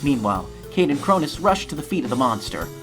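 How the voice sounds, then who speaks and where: Animated, theatrical audio drama narrator. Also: medium pitch, fast talker. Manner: vivid, theatrical. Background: music.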